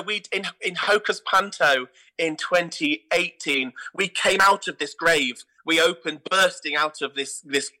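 A man talking as an interview guest, telling anecdotes in an animated, theatrical way. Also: on the high side, low-quality recording. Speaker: guest, theatre producer and pantomime dame.

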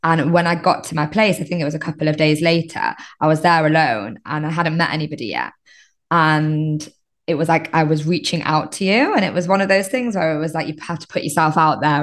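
Podcast host: woman in her thirties, very low voice, eager and affirming in conversation. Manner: enthusiastic and affirming.

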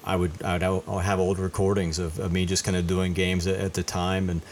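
Middle-aged male guest from Indiana, very deep and flat voice, rambling and repetitive, faint hiss. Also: brisk. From Indiana, USA. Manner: rambling, repeats words while thinking. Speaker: guest, high school principal. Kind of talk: casual interview.

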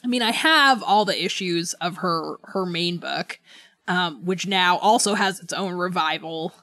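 A woman's voice: on the low side, unhurried, sharp tone, no room sound. A woman co-hosting a podcast, chatting casually about books in an informal, easygoing way and chuckling readily. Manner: informal, chuckles easily while talking. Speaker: podcast co-host. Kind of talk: casual book discussion chat.

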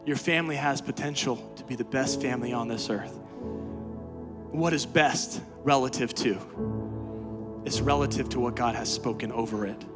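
A man preaching a sermon as pastor, swinging from quiet, low talk to loud, high-pitched exhortation. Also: normal speed, loud music behind the voice. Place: church sanctuary.

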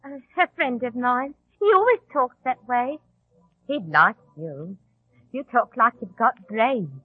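A woman's voice: on the high side, animated, a little slow, breathy edge, no room sound. An actress playing a chummy, probing tavern girl with shady schemes in a 1940s radio drama. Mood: conspiratorial. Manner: familiar, coaxing, probing. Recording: tinny, narrow old radio recording.

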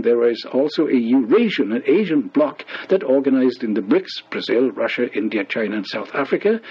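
A man's voice: mid-range voice, slightly rough. A man reading scripted international news as a radio newsreader, over a dull, lo-fi shortwave broadcast.

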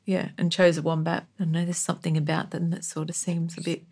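A woman talks casually as a guest on a podcast. Her voice is fairly low and creaky, with little movement in pitch. She feels her way through thoughts and checks for agreement. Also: little pitch movement, a little fast, darkish tone. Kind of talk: casual chat.

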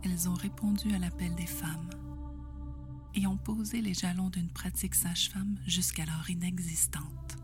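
A woman reads a scripted introduction in a slow, breathy, near-whispered way. Her voice sits a little low, and clear music plays underneath. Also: fairly even intonation. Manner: soft, slow, breathy delivery. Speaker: show narrator. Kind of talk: scripted narration. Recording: narration over music.

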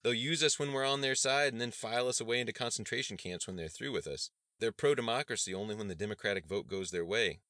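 A man performs a quick-witted young guy bluffing as a street radical in a novel. He talks in bold slang with a forceful, bright edge. The recording is very dry. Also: mid-range voice, no room sound, pitched above the performer's own voice, close to an everyday voice. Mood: passionate, persuasive. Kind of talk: acted audiobook dialogue.

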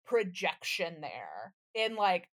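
A woman's voice: fairly low pitch, sing-song, slow, a bit bright. A woman co-hosting a podcast, bantering casually in an emphatic, candid way and swearing freely. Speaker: podcast co-host.

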